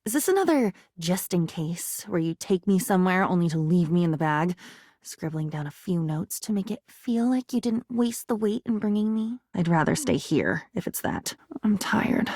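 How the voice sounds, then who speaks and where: A woman's voice: medium pitch, no room sound, studio quality. A woman voicing a melancholy living diary in a video game, needy and poetic, speaking in wistful, emotional fragments. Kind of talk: dramatic video game voice acting.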